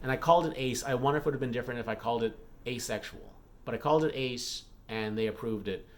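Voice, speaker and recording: A man's voice: medium pitch, quick pace, a bit bright. A man talking casually and candidly as a vlogger, rambling through personal updates. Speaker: YouTube vlogger and author.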